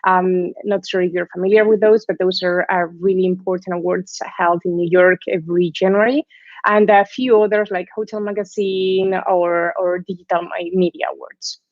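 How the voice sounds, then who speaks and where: A woman's voice: fairly low pitch, steady tone, moderate pace, no room sound. Woman, Spanish accent, guest lecturer, chatty, dry close sound, slightly dull video-call audio. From Spain. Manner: warm, chatty, tags phrases with "right?". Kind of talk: online lecture presentation.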